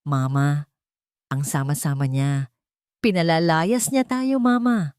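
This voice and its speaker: A woman's voice: low-pitched, steady tone, slow, a little mellow, clean tone, no room sound, clean studio sound. Woman acting a whiny, lying young sister-in-law. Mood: tearful, whiny. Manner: whining, tearful.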